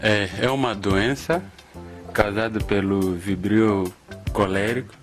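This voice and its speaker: A man's voice: fairly low pitch, fairly even intonation, slow. A man giving a spontaneous explanation as an interviewee. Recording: faint music behind.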